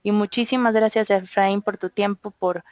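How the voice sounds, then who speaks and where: A woman's voice: mid-range voice, fairly even intonation, normal speed, darkish tone, no room sound. Polite woman moderating, dry sound. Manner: polite, with frequent "eh" fillers. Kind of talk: webinar moderation.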